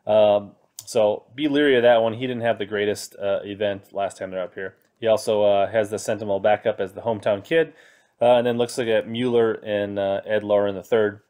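A man in his thirties with a somewhat low voice talks through fantasy fishing picks in an easygoing, conversational way. He fills his pauses with plenty of ums and uhs as he reads along from web pages. He speaks from an indoor room into a desk mic. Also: fairly even intonation, darkish tone. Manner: conversational, many fillers, reading from web pages. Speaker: fantasy fishing podcast host. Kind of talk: casual commentary on fantasy picks. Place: indoor room, screen recording with webcam inset.

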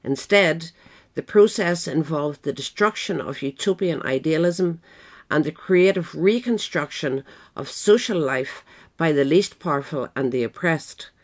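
A woman reads a nonfiction essay as an audiobook narrator. Her voice sits at a low pitch for a woman, and her delivery is measured and formal, following a written text. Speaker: audiobook reader. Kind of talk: scripted narration of a political history essay.

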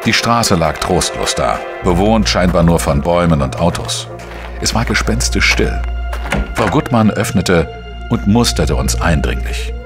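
An actor playing a dry, defiant investigative journalist in a radio play, with a sardonic, laconic manner. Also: male voice, sits low, lively intonation, unhurried, over music. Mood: brooding narration. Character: dry, defiant male investigative journalist, narrating his case. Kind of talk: radio play dialogue and first-person narration.

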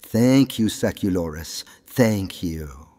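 A man's voice: sits low, lively intonation, takes it slowly, dark tone, exaggerated voice. An actor playing a creepy, unctuous old professor, a fawning henchman in an audio drama. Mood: sinister, fawning. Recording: long reverberant hall.

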